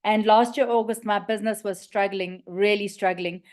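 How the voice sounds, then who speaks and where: A woman in her fifties with a South African accent, raspy from a recent flu, giving a personal testimonial. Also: mid-range voice, steady tone, normal speed, a little mellow. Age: 50s. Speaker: community member giving a testimonial.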